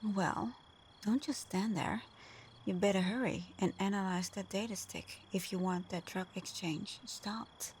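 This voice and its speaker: A woman's voice: mid-range voice, fairly even intonation, a little slow, darkish tone, a little hiss or hum, natural voice. A woman playing a sly, flirtatious supervillain in a scripted ASMR roleplay, murmuring breathily with teasing hums. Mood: sly, hushed. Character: flirtatious, sly female supervillain teasing her hero nemesis.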